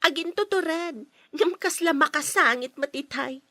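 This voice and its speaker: A bossy, snide young Filipino sister for radio drama. Her voice is very high and animated, with a bright edge. She taunts haughtily in a close, dry recording. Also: a little slow, dry recording. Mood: gossiping. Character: snide, bossy sister guarding her brother from his girlfriend. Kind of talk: Ilocano radio drama acting.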